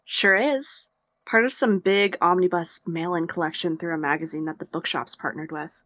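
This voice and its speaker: Low-voiced, sardonic young woman, played by an actress. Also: normal speed, fairly bright tone, no room sound, natural voice. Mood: casual, then concerned. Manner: dry, blunt, teasing sarcasm. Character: sardonic young woman, hurt but fondly teasing older-sister type. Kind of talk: naturalistic audio drama acting.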